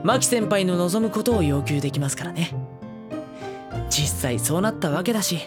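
A woman playing a polite, hesitant high school boy in an audio drama. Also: lively intonation, moderate pace, music in the background, lightly acted. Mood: flustered. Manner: polite, hesitant, slightly exasperated. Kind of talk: Japanese audio drama acting.

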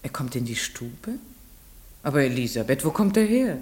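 Casting: strict yet patient schoolmistress for radio play, low register, very lively inflection, probing delivery. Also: female voice, normal speed. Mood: gentle, probing. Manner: questioning, shifts from commanding calls to soft coaxing. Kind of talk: German radio play acting.

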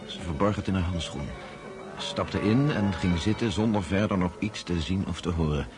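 Radio play narrator: actor as wry male storyteller, deep low voice, clear music underneath. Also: normal speed, close to an everyday voice. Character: male storyteller recounting a romance to friends. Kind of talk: dramatised storytelling in radio drama.

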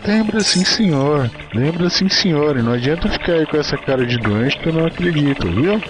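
Man voicing a small boy, earnest, raised pitch, music behind. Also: expressive, fairly quick, close to an everyday voice, rough recording. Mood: mild scolding. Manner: scolding in a soft, earnest tone. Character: small poor orphan boy, earnestly scolding his dog, tender.

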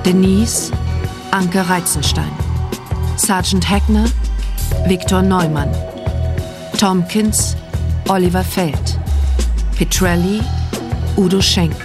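A woman's voice: on the low side, slow, pauses often, music in the background. A woman announcer reading scripted credits for a radio drama.

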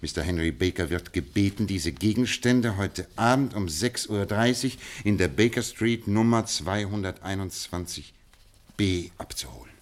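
Detective, very deep voice, measured, authoritative, 1950s radio drama. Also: male voice, lively intonation. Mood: dictating. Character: sharp, commanding male detective, middle-aged. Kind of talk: 1950s German radio play acting.

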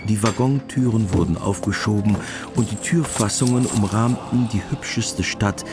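A man narrating a scripted radio drama in a deadpan, matter-of-fact way. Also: fairly low pitch, moderate pace, music in the background, natural voice.

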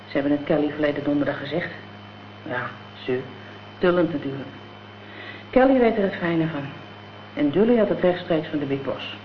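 A woman's voice: sits low, normal speed, long pauses, natural voice. An actress playing a gangster's tough, vengeful girlfriend in a radio drama, talking in blunt, scornful slang. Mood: conspiratorial, scornful. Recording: dull, noisy old radio play recording.

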